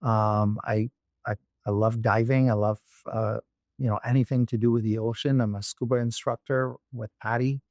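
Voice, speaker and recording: Man, from Barbados, interview guest, mid-pitched, dry close mic, halting with fillers. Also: fairly even intonation, a little mellow, no room sound. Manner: thoughtful, many fillers and restarts. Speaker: guest, restaurant company executive. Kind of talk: interview conversation.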